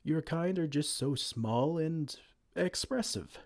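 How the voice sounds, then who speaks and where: A man voicing a kind, gentle male giant who cares for a tiny human, speaking softly and soothingly in an ASMR roleplay. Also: on the high side, lively intonation, slow. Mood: tender. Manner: soft-spoken, soothing, tender pet names.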